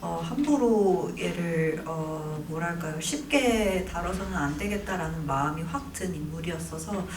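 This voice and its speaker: A woman actress at a film Q&A, speaking reflectively with pauses. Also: lowish voice, expressive, a little mellow, slight background noise. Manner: reflective, halting, thoughtful. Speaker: actress guest. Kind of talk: film Q&A answer. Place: cinema hall. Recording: echoey cinema hall, mic from a distance.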